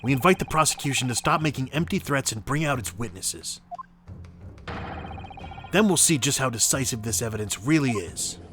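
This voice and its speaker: A man's voice: mid-range voice, animated, normal speed, over music, lightly acted. A man voicing a firm, loyal young defense lawyer in a courtroom game dub, impassioned and formal. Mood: defiant.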